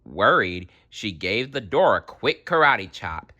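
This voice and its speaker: Deep, animated storyteller man. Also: slow pace. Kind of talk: expressive children's story read-aloud with wry asides.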